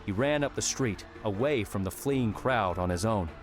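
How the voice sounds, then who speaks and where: A man narrating an audiobook in a steady, measured storytelling voice. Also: on the low side. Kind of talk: scripted narration. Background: music.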